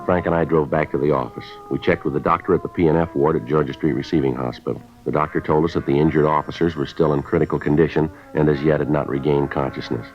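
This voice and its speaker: Terse American police detective, radio drama, quick delivery, deadpan, very low pitch, muffled vintage sound. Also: male voice. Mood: flat, matter-of-fact. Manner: deadpan, matter-of-fact. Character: terse, businesslike male police detective narrating his case. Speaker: narrator and lead actor. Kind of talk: 1950s radio acting, clipped scripted narration. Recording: dull vintage radio recording with music.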